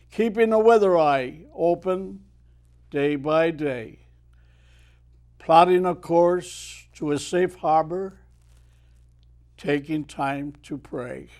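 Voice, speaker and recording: An Irish man in his eighties, a retired mariner guest telling stories and reciting his own poetry in a measured way. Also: sits high, a little mellow. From Ireland. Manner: measured storyteller who declaims verse with swelling emphasis. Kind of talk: unhurried storytelling and poetry recitation.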